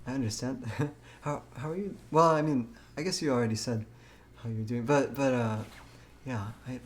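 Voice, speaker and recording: A man's voice: medium pitch, moderate pace. A man live streaming in a casual way, chatting and reading a game aloud with a hesitant, stammering delivery that often repeats words.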